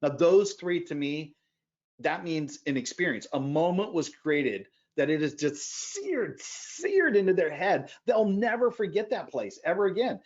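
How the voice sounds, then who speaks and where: A man speaking as a podcast guest in animated conversation, emphatic, with loud bursts and quieter asides. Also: on the high side, unhurried, highs cut off.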